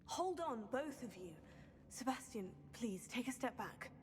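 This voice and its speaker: A young woman playing a composed, diplomatic teenage witch in a video game, measured and articulate. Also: fairly high pitch, big pitch swings, background noise. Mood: firm. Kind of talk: dramatic video game voice acting.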